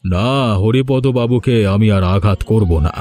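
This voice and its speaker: A man playing a proud, domineering village landlord in a cartoon drama, speaking with deliberate authority. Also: fairly low pitch, darkish tone, soft background music. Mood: firm, measured. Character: stern, domineering village landlord, male, proud but remorseful. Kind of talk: Bengali cartoon drama dialogue.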